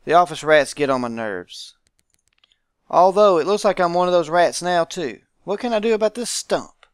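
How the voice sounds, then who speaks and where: A man's voice: sits high, expressive, moderate pace, clean tone, dry recording, studio quality, voice pushed up. A man voicing a brusque, grumbling male veteran, a visual novel character bitter about his office service.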